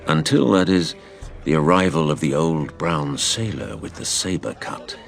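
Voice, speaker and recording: Audio drama: wry middle-aged father spinning a yarn, low creaky voice, animated intonation. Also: over music, exaggerated voice. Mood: reflective storytelling. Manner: measured, expressive storyteller. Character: fond, wry father, storytelling former innkeeper's son.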